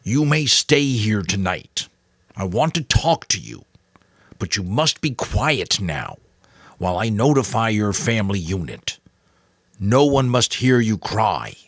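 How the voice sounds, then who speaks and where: A man's voice: on the low side, big pitch swings, fairly bright tone, dry recording, studio quality, pitched above the performer's own voice, heavily acted. A man voicing a grave, weary old mentor, firm but kind, in a dramatic audiobook. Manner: firm, solemn, deliberate. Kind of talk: dramatic audiobook character reading.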